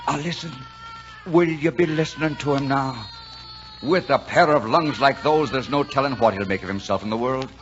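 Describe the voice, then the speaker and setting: A man playing a brash, warm-hearted truck driver in a colloquial, folksy 1940s radio drama style. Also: mid-range voice, normal speed, natural voice. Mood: jubilant, teasing. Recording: dull vintage 1940s radio broadcast, music.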